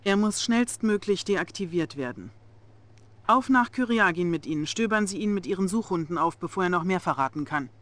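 A woman's voice: on the low side, lively intonation, normal speed, lightly acted. An actress playing a young female Soviet officer in a game cutscene, controlled and authoritative. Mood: controlled, commanding. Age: young adult. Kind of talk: video game cutscene acting.

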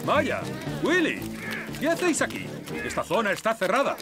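A man's voice: sits high, wildly swooping pitch, moderate pace. A man voicing a stern, military ant colonel in a children's cartoon. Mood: surprised. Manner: military, officious. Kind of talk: animated children's cartoon acting. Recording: loud music behind the voice.

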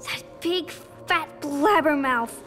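A high-voiced, bratty little girl in a video game. She is snippy, sulky and defiant, with some music behind her. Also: slow pace, a bit bright.

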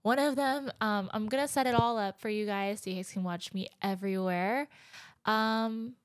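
A woman's voice: mid-range voice, steady tone, normal speed, no room sound. A young woman in her twenties hosting a casual solo podcast from her living room couch, chatty and candid, laughing now and then, speaking close into a handheld mic. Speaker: podcast host. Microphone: handheld dynamic mic, close.

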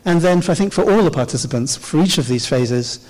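A middle-aged man giving a conference keynote on a large stage, speaking into a handheld mic in a measured, explanatory way with the odd pause. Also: a little high, animated, darkish tone. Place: large dark auditorium stage. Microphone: handheld microphone. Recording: long hall reverb.

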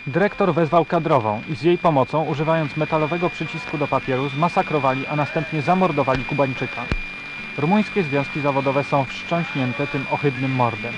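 A man playing an earnest radio announcer, reading the news in a formal, measured, serious manner. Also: a little high, close to an everyday voice. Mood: solemn, formal. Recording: very noisy, humming shortwave radio broadcast.

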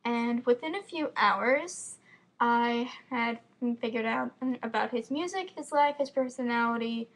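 Slow, gravelly teen American girl. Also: a little high, a bit bright. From Florida, United States. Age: teens. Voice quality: slight lisp. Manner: quick, chatty, rambling with many fillers. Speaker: fan vlogger. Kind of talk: casual webcam chat. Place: home room with bookshelves, indoors. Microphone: built-in computer webcam mic.

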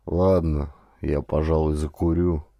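A man voicing a caring, gentle, cheerful father and polar scientist in an audiobook. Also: a bass voice, little pitch movement, measured pace, dry recording, voice pushed down. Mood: gentle concern. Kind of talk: audiobook character dialogue.

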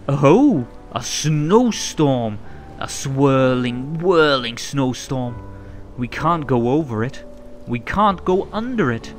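A man reading a children's story aloud in a theatrical, rhythmic and expressive way. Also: mid-range voice. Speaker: storybook narrator. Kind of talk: animated children's read-aloud. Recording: music under the voice. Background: music.

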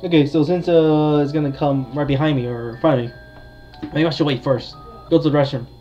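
A man's voice: fairly high pitch, steady tone, a little fast, dark tone, dull audio, soft background music. A man giving casual commentary on a horror game, talking to his viewers and thinking aloud as he plays. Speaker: gamer, video host.

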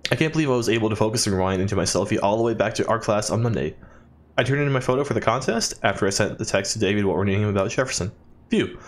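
An expressive male let's player with a medium-pitched voice reads a game character's writing aloud. He stumbles over the odd word and slips in jokey asides as he goes. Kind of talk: reading game journal text aloud with asides.

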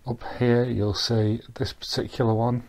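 A man giving a relaxed, rambling voice-over walkthrough of a virtual boat tour, with frequent ums and restarts. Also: mid-range voice, unhurried. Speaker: tour guide. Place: recorded while wearing VR goggles, not on the boat.

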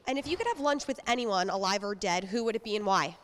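A woman's voice: medium pitch, expressive, quick pace, fairly bright tone. A young adult woman interviewer asking prepared questions. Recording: audible ambient building noise.

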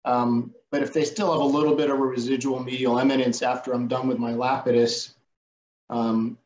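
A man on a video call, a surgeon describing his technique in a measured, methodical way. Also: medium pitch. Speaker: surgeon panelist. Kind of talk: clinical explanation. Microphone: video call webcam. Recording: echoey room with faint noise.

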